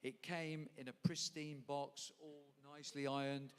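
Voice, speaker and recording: A man's voice: a little high, level tone, a little slow, clear, resonant tone. A man hosting a live stage evening, telling anecdotes with laughter and asking his guests questions. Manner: chatty, laughing storyteller. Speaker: event host. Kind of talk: stage interview with storytelling. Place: live event venue. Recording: echoey hall.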